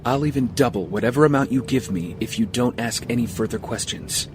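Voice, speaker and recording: An actor playing a weary, guarded castaway with a secret life, speaking in a measured, understated way. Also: male voice, medium pitch, background noise, lightly acted. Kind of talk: audio drama acting.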